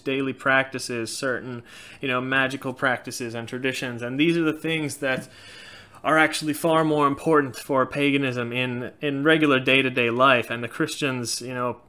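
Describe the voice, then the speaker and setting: A man's voice: mid-range voice, clear, resonant tone. A man in his thirties from Norway with a slight Norwegian accent, hosting a casual Q&A from a small room and talking frankly and animatedly. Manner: frank, animated, gestures a lot. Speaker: channel host. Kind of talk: casual Q&A chat.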